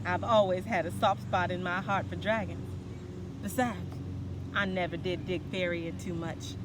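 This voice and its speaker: A woman's voice: lowish voice, fairly even intonation, normal speed, very noisy, pitched below the performer's own voice, close to an everyday voice. A woman voicing a sassy, confident fairy in a storybook, slangy and streetwise, bossy but affectionate. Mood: flirty. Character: sassy, confident female fairy, hip and teasing.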